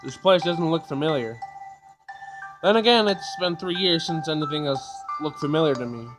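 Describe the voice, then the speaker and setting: A man with a fairly high voice hosts a casual let's play over clearly audible game music. He reads the on-screen text aloud in an informal way, sometimes tripping over the words. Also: expressive, moderate pace, poor audio. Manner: informal, stumbles while reading aloud. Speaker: gaming video host.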